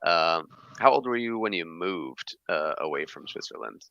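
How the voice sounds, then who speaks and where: A man's voice: low-pitched, animated, moderate pace, sharp tone, no room sound. A man hosting a casual livestream chat, upbeat and reading from the chat. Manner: upbeat, says awesome a lot. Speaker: host. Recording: dull lo-fi sound.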